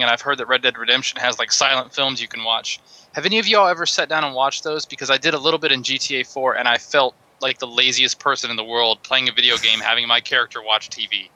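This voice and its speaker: A man's voice: medium pitch, quick pace, bright, forceful tone, dry recording, low-quality recording. A man chatting casually as a co-host on a video game podcast. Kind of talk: casual chat.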